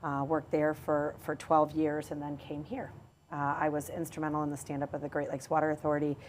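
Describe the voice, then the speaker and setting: A middle-aged woman, an executive telling her career story in a podcast interview, measured and often pausing to restart. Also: sits low, level tone, slight background noise. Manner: measured, with frequent hesitations and restarts. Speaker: water authority chief executive.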